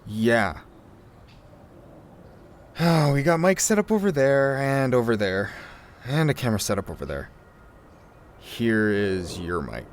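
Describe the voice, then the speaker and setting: A man's voice: medium pitch, lively intonation, a little slow, pauses often, close to an everyday voice. A man playing a fussy, exasperated podcast sound technician in naturalistic audio drama dialogue, informal and nagging.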